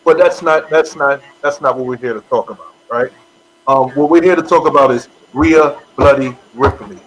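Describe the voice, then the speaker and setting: A man co-hosting a casual wrestling podcast, talking in an animated way and addressing wrestlers directly. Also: mid-range voice, normal speed, poor audio. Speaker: podcast co-host. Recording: faint background noise.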